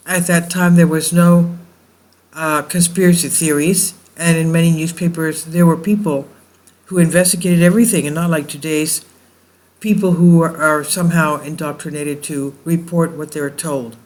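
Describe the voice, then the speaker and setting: Higher-pitched man reading old news reports. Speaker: video host.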